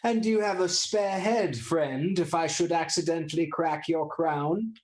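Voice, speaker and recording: A man playing a dry-witted young recruit to an outlaw band. He delivers his lines with level, sardonic calm in a radio play. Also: on the high side, unhurried, natural voice. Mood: wry. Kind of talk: stage radio play acting.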